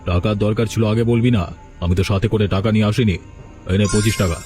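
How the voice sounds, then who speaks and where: A man's voice: on the low side, fairly even intonation, a little fast, dark tone, close to an everyday voice. A man playing a bossy, scheming criminal in a cartoon story, talking in a familiar, commanding way. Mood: dismissive. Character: scheming male village trafficker and his masked boss. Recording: music behind the voice. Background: background music.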